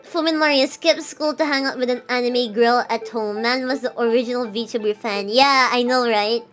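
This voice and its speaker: A woman's voice: a little high, moderate pace. Giggly, chatty woman streamer. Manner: chatty, giggly asides between read-aloud narration. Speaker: VTuber streamer. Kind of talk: casual livestream reading a visual novel aloud. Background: soft game music.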